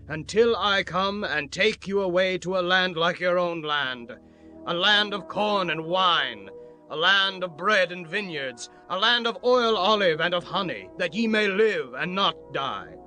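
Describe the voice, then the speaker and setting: An actor playing a boastful, threatening Assyrian royal envoy in a dramatized scripture audiobook, declaiming tauntingly over music. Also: male voice, sits high, pushed and bright, exaggerated voice. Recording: music under the voice.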